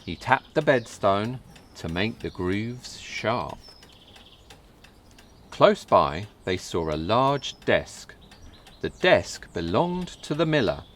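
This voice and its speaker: A man reads a story for young children in a lowish voice. He goes very slowly and carefully, with plenty of rise and fall in his pitch. There is light background ambience with some birdsong. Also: sing-song, a bit bright, a little hiss or hum. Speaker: storybook reader. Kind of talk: scripted children's story narration.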